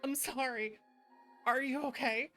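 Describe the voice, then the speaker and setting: Expressive woman livestreaming over music. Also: sits high, measured pace. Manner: expressive, reactive. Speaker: streamer. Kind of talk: casual game livestream chat. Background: game music.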